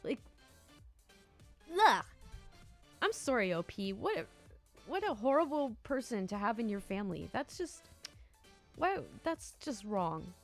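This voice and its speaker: A woman narrating online stories in an animated way, with exasperated, indignant asides. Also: on the high side, unhurried, long pauses, faint music behind. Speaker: storyteller reading online stories. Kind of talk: story narration with commentary.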